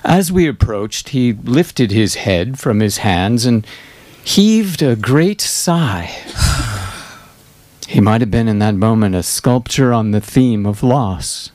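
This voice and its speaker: An actor playing an elderly gentlemanly ghost in a dramatic literary reading, measured and solemn. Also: male voice, mid-range voice, animated, no room sound. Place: indoor bookshop reading. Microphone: shared stand microphone.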